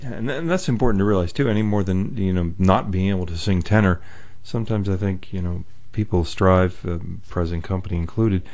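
Low-voiced male interviewer. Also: brisk, a little mellow. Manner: chatty, rambling, with many fillers. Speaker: interview host. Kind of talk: casual interview chat. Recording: muffled lo-fi audio.

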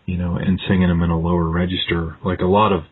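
A man's voice: low voice, level tone, fast talker, husky. A man interviewing a musician in a casual, agreeable way, asking long questions with many fillers. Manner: casual, lots of short agreeing replies. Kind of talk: phone interview. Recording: tinny phone line.

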